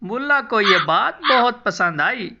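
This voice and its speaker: A woman's voice: mid-range voice, animated, a little slow, highs cut off, noisy background. A woman storyteller reading a scripted moral tale in storybook narration for a cartoon.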